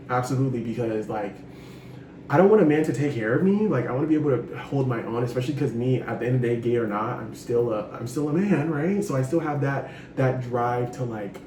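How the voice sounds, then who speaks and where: Young man, 20s, frank advice vlogger, animated and profane, mic set off at a distance. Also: mid-range voice. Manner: animated, frank, swears freely, sassy asides. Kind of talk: casual advice monologue. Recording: roomy echo, distant from mic, noisy.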